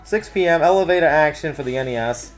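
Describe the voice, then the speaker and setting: A playful co-host reads out event listings for a store news show with a very animated, swinging pitch. The talk is casual and full of joking asides, with light music in the background. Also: fast. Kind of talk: casual banter while reading out event listings.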